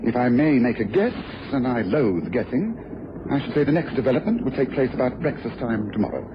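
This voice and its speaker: A man's voice: mid-range voice, big pitch swings, brisk, natural voice. An actor playing a brilliant, commanding male detective with precise, didactic delivery in old-time radio drama style. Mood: smugly assured. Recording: dull, noisy old radio recording.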